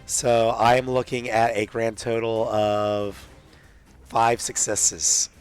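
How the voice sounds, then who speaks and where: A man's voice: mid-range voice, level tone, slow pace, clean tone, faint music behind. A man playing a tabletop roleplaying game, talking casually through his moves and rolls.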